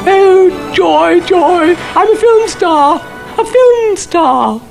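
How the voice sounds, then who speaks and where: A man voicing a giddy, overjoyed female cow in a cartoon, squealing out drawn-out exclamations. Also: very high voice, animated, creaky, faint music behind, pitched above the performer's own voice, full character voice, rough recording. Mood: ecstatic.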